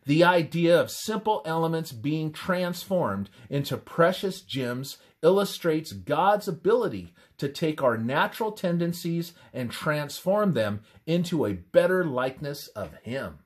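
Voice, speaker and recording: A man reads a scripted religious text aloud in a measured, deliberate manner, pausing between phrases. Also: a little high, animated. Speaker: reader of a religious presentation. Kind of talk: scripted devotional narration, read aloud.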